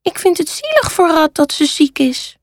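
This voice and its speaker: A woman voicing an eager, high-voiced little storybook panda. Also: big pitch swings, moderate pace. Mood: caring, eager. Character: kind, curious young male panda, earnest. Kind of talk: children's storybook character voice.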